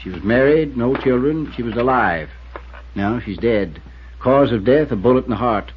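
An actor playing a laconic middle-aged small-town New constable, with a drawl and clipped folksy phrasing, in 1950s radio drama style. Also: male voice, medium pitch, animated, moderate pace, slight background noise, lightly acted. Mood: matter-of-fact. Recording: muffled 1950s radio recording.

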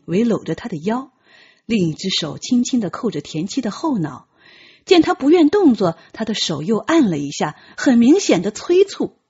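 A woman narrates an audiobook with dramatic, lively intonation. Her voice is quite husky, and the recording is slightly dull. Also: mid-range voice.

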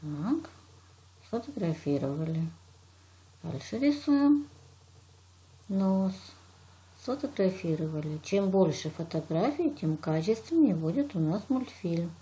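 A woman's voice: on the low side, expressive, takes it slowly, long pauses, mellow. A woman teacher from Russia walks a child through a drawing lesson step by step, patiently praising and narrating each action.

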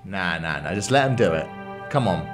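A man's voice: low-pitched, lively intonation, moderate pace. A young man giving casual, slangy, teasing reaction commentary on a game. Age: young adult. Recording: film music behind.

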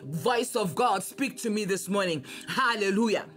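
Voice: medium pitch, animated, moderate pace, a bit bright, soft background music. A preacher delivering a fervent prophetic sermon and declarative prayer in an emphatic, repetitive, exhorting manner.

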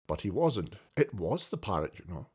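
A man reading a classic story as an audiobook narrator, theatrical and expressive in his scripted delivery. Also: sits low, moderate pace, slightly rough.

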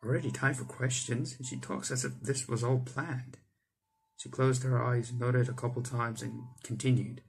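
A young man reads a visual novel aloud as a gaming YouTuber, in a mid-pitched voice. He narrates the text and drops in his own asides, with game music playing behind him. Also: steady tone, normal speed, creaky, low-quality recording. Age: young adult.

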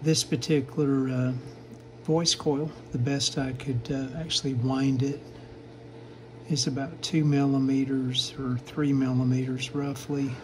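An older man giving a casual, matter-of-fact, halting narration of hands-on repair work at a workbench. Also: mid-range voice, darkish tone, a little hiss or hum. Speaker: vintage radio repair hobbyist, channel host.

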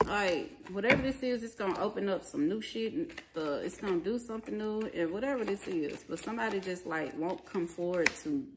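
A woman's voice: medium pitch, expressive, brisk, few pauses. A woman giving an informal tarot reading in blunt, profane, emphatic and slangy conversational speech. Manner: colloquial, slangy phrasing. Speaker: tarot reader. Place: small indoor living room at a table. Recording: clearly noisy home recording, card clicks.

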